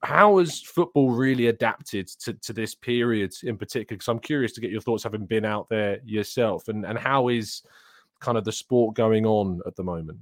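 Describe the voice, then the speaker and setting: A man, hosting a chatty interview show, upbeat and quick with his questions and fillers. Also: lowish voice, dry recording, clean studio sound. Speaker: show host. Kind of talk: casual interview chat.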